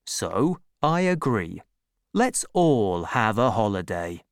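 A reader voicing a gentle village blacksmith who speaks quietly and calmly in a lowered voice. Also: slow, smooth, no echo, clean studio sound. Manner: quiet and calm.

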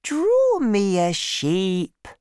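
A narrator voicing a small boy in a storybook, gentle but insistent, with slow, deliberate and simple speech. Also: medium pitch, wildly swooping pitch, clean tone, no room sound, clean studio sound, voice pushed up, full character voice. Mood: pleading, insistent. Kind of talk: storybook character voice.

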